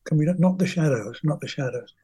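An older man from England tells long, rambling stories in an easygoing interview, and he acts out the voices of the people he quotes. His voice is mellow and husky, and it sits slightly high for a man. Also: animated, quick pace. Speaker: guest musician. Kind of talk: anecdotal interview storytelling.

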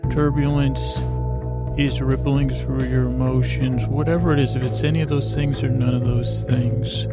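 A man hosting a sleep podcast in a rambling bedtime monologue, soothing and lulling, halting and repeating his words, with a gravelly voice. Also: medium pitch, level tone, over loud music. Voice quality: gravelly, creaky.

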